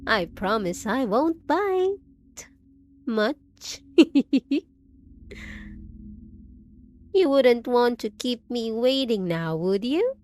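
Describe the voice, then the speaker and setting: A woman acts out an unhinged undead bride in an audio drama, with a fairly high voice and a slow, savoring delivery. She coos pet names with sweet, possessive menace over light background ambience. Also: slight background noise, exaggerated voice. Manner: drawn-out, cooing endearments with giggles and teasing questions. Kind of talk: scripted roleplay audio drama.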